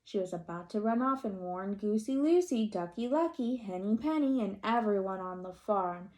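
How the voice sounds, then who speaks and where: A woman's voice: medium pitch, moderate pace. A woman reading a picture book aloud expressively, with questioning asides to young listeners. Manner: expressive, addresses children with questions. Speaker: read-aloud storyteller.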